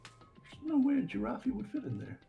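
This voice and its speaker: Animated, joking gamer friend. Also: mid-range voice, normal speed, gravelly, highs cut off, faint music behind. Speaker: playthrough cast member commenting. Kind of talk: casual joking chat while gaming.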